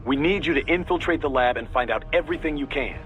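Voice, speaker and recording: An actor playing a businesslike mission briefer with dry humour in a video game. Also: male voice, fairly high pitch, quick pace, dull audio, lightly acted. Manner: matter-of-fact, dry.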